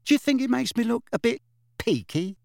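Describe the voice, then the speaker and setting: A man's voice: very high-pitched, expressive, fairly quick, vocal fry, darkish tone, no echo, studio quality, pitched above the performer's own voice, full character voice. A man voicing a cheeky, clumsy young witch in an audiobook, with a chatty, blunt, colloquial manner. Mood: breezy, frank. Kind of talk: audiobook character voice.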